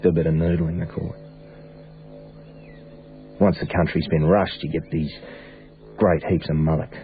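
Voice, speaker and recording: A man's voice: a bass voice, flat delivery, normal speed. A male actor with an Australian accent playing a wistful, easygoing young traveller from opal country in a radio drama, speaking reflectively and colloquially. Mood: reminiscing, fond. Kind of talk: naturalistic radio drama acting. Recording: dull, phone-like band, faint music.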